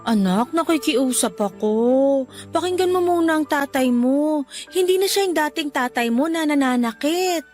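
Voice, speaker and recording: A woman's voice: high voice, animated, normal speed, a little mellow, soft background music. A woman playing a pleading but stern mother in a radio drama, earnest and admonishing. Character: pleading but stern mother mediating between daughter and husband. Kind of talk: Tagalog radio drama acting.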